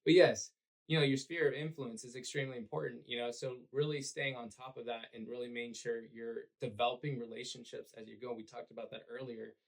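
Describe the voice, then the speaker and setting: A man whose voice is hoarse and raspy, with a gravelly edge that drags at the ends of phrases. He speaks at a middle pitch in an earnest, relaxed podcast-chat way. He leans on fillers as he explains. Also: fast talker, low-quality recording. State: hoarse from cheering at a game. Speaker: realtor and podcast guest. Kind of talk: conversational podcast interview.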